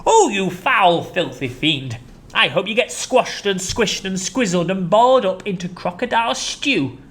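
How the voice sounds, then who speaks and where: A reader doing a furious, loud elephant. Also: big pitch swings, measured pace, vocal fry, exaggerated voice. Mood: angry. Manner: loud, raised-pitch fury. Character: angry male elephant.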